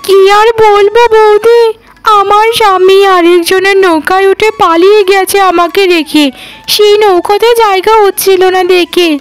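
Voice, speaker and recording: A humbled rich village wife from a cartoon, played by a woman. Her voice is extremely high, and she speaks melodramatically, beseeching and repeating herself. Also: steady tone, moderate pace. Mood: tearful, ashamed. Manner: wailing, beseeching, repetitive. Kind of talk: melodramatic Bengali cartoon dub acting.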